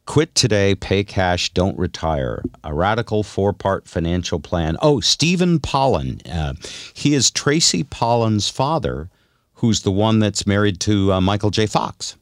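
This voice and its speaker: A man's voice: on the low side, expressive, unhurried, dry recording, clean studio sound. An older man hosting a radio show, chatting and telling stories casually in a conversational, wry way. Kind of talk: casual radio banter and storytelling.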